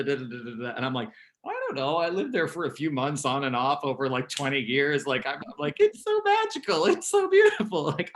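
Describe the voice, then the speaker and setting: Male host, medium pitch, casual and playful interviewer. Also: fairly bright tone. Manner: chatty and playful, laughs while asking. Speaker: host, artistic director.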